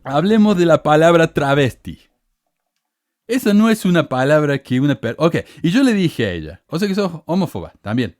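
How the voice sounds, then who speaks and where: A man's voice: big pitch swings, normal speed, a little mellow, dry recording, studio quality. Indignant man with a Cordoba accent. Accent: Cordoba Argentine Spanish. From Córdoba, Argentina. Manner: indignant, sarcastic, rants with rising pitch. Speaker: podcast host. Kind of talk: casual commentary reading comments.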